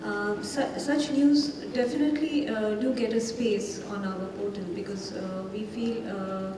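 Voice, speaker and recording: A woman's voice: medium pitch, steady tone, unhurried. A woman giving an unscripted panel answer, very hesitant, with frequent stops and filler sounds. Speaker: panelist from a news portal. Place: large indoor conference hall. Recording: echoey hall, distant mic, clear noise.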